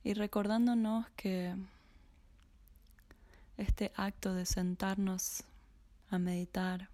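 Woman leading a slow meditation, low mellow voice, half whispering, dry close mic. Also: fairly even intonation, dry recording. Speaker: meditation guide. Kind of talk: guided body scan meditation.

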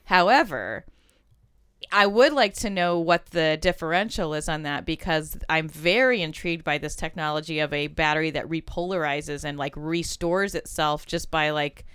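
A woman's voice: sits low, normal speed, a bit bright, clear, resonant tone, no room sound, studio quality. A woman co-hosting a podcast, chatting casually with wry, jokey asides. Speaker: podcast co-host. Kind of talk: casual chat.

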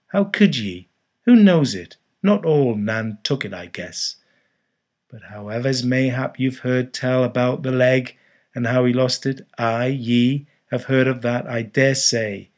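A man's voice: medium pitch, takes it slowly, a little mellow, pitched above the performer's own voice, natural voice. A man voicing a ragged old male sailor who speaks in an earnest, cryptic, ominous way in an audiobook. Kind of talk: audiobook character voice.

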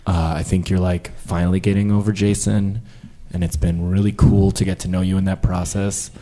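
A man's voice: sits low, little pitch movement, moderate pace, warm tone, pitched below the performer's own voice, close to an everyday voice. A man playing an overfamiliar barista in improvised comedy, earnest, chummy and insistent. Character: overfamiliar, pushy barista declaring himself a romantic cure. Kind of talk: improvised radio theater comedy.

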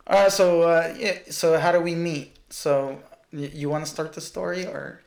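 A man's voice: a little high, steady tone, normal speed, vocal fry, reverberant. A man in his thirties from Russia with a Russian accent, co-hosting a casual podcast and rambling and teasing with lots of restarts. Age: 30s. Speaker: podcast co-host.

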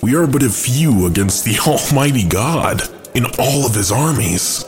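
A man acts as a brooding, cunning angel with a medium-pitched voice that moves expressively. He talks slowly and musingly, with measured pauses, as an audio drama schemer. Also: expressive, faint music behind, natural voice. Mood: sceptical.

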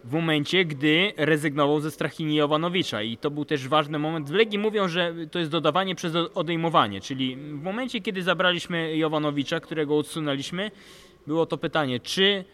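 A man's voice: on the high side, fairly quick, a bit bright. A man talks through sports analysis. He sounds like a podcast co-host in casual discussion. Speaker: podcast co-host and basketball commentator.

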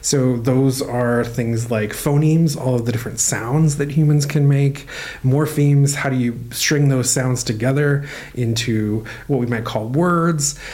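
Guy professor explaining stuff, um-ing and uh-ing, average pitch. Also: measured pace, a little mellow. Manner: thoughtful, many "um" and "uh" while explaining. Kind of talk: relaxed explanatory interview answers.

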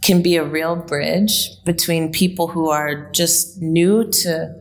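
A woman's voice: low voice, fairly even intonation, takes it slowly, faint music behind. A woman in her late thirties, a guest telling a story in a reflective, conversational way with frequent fillers. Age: late 30s. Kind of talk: conversational interview.

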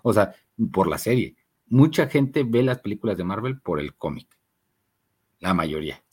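A man's voice: on the low side, lively intonation, moderate pace, a little mellow, breathy edge. A young man with a Mexican Spanish accent talking about films in casual podcast chat, slangy and meandering with hesitant fillers. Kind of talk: casual chat, film discussion.

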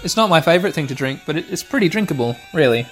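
A man in his thirties with a slightly hoarse voice, hosting a let's play with rambling, sweary, mock-dramatic gameplay commentary. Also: a little high, lively intonation, very fast, a bit bright. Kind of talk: casual gameplay commentary with nostalgic asides. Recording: music playing behind the voice. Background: music.

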